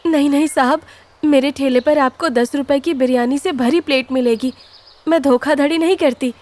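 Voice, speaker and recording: A woman voicing a gentle, devout village wife in a cartoon moral story, earnest and expressive. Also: high voice, normal speed, close to an everyday voice. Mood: earnest, polite.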